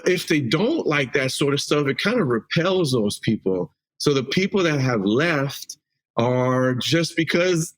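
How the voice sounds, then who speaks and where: A man from Mexico, a roofing company CEO guest, talking loosely with frequent fillers and restarts. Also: mid-range voice. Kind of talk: casual interview answers.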